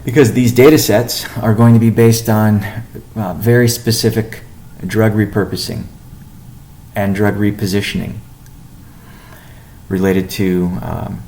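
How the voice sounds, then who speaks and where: A man giving a company update on a conference call as its chief executive, speaking in a measured, halting way with deliberate pauses. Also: lowish voice, steady tone, a little mellow, slight background noise. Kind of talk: business conference call presentation.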